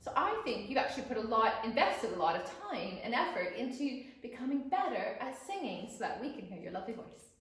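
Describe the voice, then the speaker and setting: Female children's teacher, expressive and emphatic, lively high voice, very reverberant space. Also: brisk, hardly any pauses. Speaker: guest storyteller and children's teacher. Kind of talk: explanatory chat for children. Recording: very echoey room.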